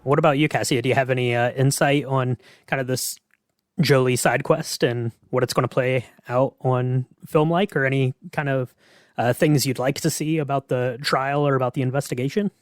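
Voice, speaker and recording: Mid-pitched man hosting a casual podcast. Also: steady tone, normal speed, dry recording, clean studio sound. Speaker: podcast host.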